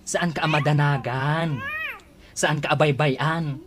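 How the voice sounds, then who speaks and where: A woman's voice: sits low, steady tone, slow, background noise. An actress playing a bold, scheming woman in an Ilocano radio comedy drama. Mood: sly.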